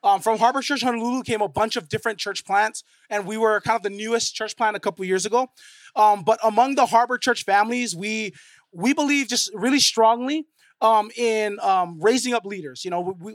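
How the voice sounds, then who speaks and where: High-voiced man, halting church introduction. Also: no room sound. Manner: halting, many ums and restarts. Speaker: church leader introducing the speaker. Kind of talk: casual church announcement.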